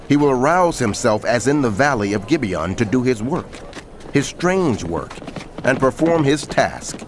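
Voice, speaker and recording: A man's voice: mid-range voice, slight background noise. A man narrating scripture dramatically, in a declamatory and emphatic manner.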